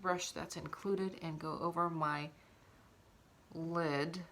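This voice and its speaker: Bright-voiced woman giving a makeup tutorial. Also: sits low, fairly even intonation, slow pace, a little hiss or hum. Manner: instructional, talking while demonstrating. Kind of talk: casual step-by-step makeup tutorial.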